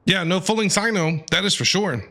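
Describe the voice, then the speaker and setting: A man streaming a game with live reaction commentary, excitable and often repeating himself. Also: high voice, a little fast.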